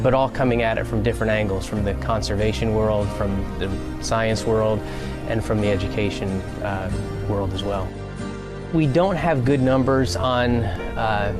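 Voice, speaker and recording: Low-voiced man in a documentary interview, music behind. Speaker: conservation scientist being interviewed.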